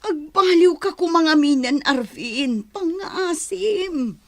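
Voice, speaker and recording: An actress playing a long-suffering, reproachful wife with an Ilocano accent in a radio drama. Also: female voice, very high voice, animated, slow, exaggerated voice. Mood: resigned pleading.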